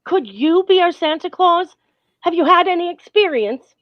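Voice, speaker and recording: An actress playing a brisk, flustered woman in charge of a parade in a radio play. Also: extremely high pitch, animated, highs cut off. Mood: pleading. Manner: bossy, flustered, pleading. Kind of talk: 1940s-style radio play acting.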